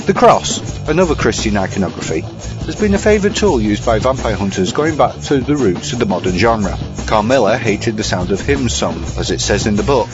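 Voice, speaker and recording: A man's voice: fairly low pitch, lively intonation, moderate pace. A man presenting scripted commentary as a podcast segment host, in a dry, bookish manner. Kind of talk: scripted film and book commentary. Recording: slightly dull sound over music.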